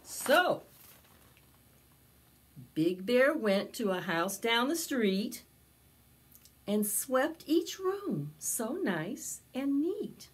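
An older woman hosting preschool storytime, reading a picture book aloud with expressive, drawn-out phrasing. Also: a little high, slightly rough. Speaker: library preschool storytime host. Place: small indoor space with decorated backdrop.

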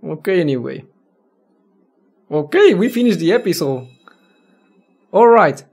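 Rambling man doing lively game commentary. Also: high-pitched, measured pace, vocal fry, breathy edge. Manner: rambling, stumbling over words, swearing mildly. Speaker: let's player. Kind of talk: casual reaction commentary while reading a visual novel aloud.

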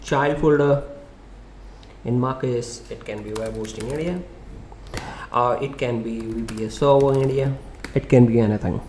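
Brief: male tutor, mid-pitched, casual screen-share walkthrough, faint hiss. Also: steady tone, normal speed, a little mellow. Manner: loose, conversational explaining with fillers and restarts. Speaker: SEO tutorial presenter.

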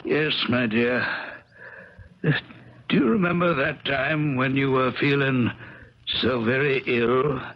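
An actor playing a sick, doting old uncle in a plaintive, wistful radio drama style. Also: male voice, medium pitch, slow, pauses often. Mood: wistful, tender. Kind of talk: 1970s radio drama acting. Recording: dull crackly 1970s radio recording.

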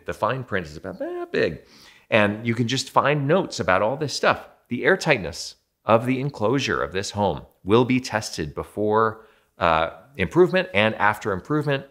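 A man's voice: fairly low pitch, a bit bright. A man in his thirties, a building performance consultant, explaining home quality control to camera in a conversational, self-deprecating way from an indoor studio.

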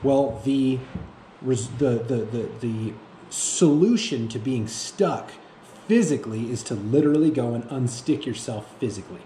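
A man in his thirties speaking off the cuff to camera as a fitness vlogger, haltingly, often repeating himself and searching for words. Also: medium pitch, mellow. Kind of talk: reflective unscripted monologue to camera. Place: indoor room with bare walls. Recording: echoey room with faint background noise.

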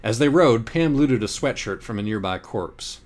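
A man reading scripted audiobook narration in a wry, deliberate, literary manner. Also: mid-range voice, animated, a little mellow. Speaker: audiobook reader.

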